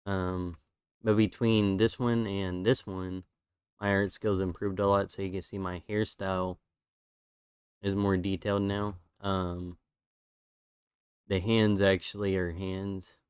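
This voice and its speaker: A man's voice: sits low, takes it slowly, pauses often, smooth, no room sound, clean studio sound. A man streaming, chatting casually and rambling through his drawings while losing his voice. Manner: relaxed, rambling with fillers.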